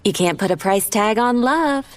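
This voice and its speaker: An actress playing a tense, stubborn grown sister in an audio drama, guarded and defiant in manner. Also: female voice, mid-range voice, expressive, normal speed, lightly acted. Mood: guarded, needling. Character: tense, stubborn adult sister, grieving young mother.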